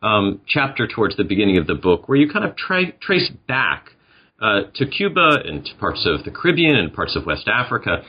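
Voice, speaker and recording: Guy hosting a podcast interview, very animated pitch, polite and chatty, kinda muffled lofi sound. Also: moderate pace, husky.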